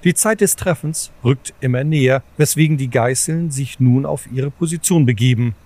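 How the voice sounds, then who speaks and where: A man's voice: medium pitch, moderate pace, warm tone. A man narrating a scripted audio drama as a storyteller, in composed, formal full sentences.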